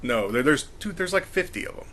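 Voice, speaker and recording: A man's voice: on the high side, lively intonation, fast talker, bright, forceful tone. A man co-hosting a podcast in casual group discussion, talking in a rambling, hesitant way. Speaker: podcast co-host.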